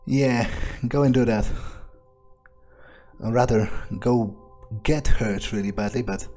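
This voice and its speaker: Male gamer, in-character thinking aloud, deadpan and unhurried, mid-pitched, faint music behind. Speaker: gameplay player staying in character. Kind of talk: in-character improvised game commentary, thinking aloud.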